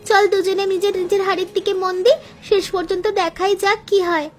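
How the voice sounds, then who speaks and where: A woman's voice: very high voice, fairly even intonation, a little fast, faint music behind. A woman voicing a cheerful, eager young daughter-in-law in a cartoon.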